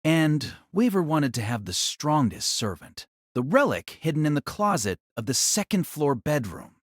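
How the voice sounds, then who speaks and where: A man's voice: on the high side, very animated, moderate pace, no room sound, clean studio sound. A man narrating a light novel in a dramatic, scripted storytelling style.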